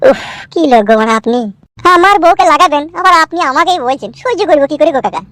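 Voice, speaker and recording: A man's voice: very high-pitched, animated, quick pace, pushed and bright, heavily acted. A man voicing a meek, fretful young village husband in a Bengali cartoon, pleading and deferential, with a rural West Bengal accent. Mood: upset, pleading. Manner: pleading, deferential, stammering when upset. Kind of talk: comic cartoon dialogue. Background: background music.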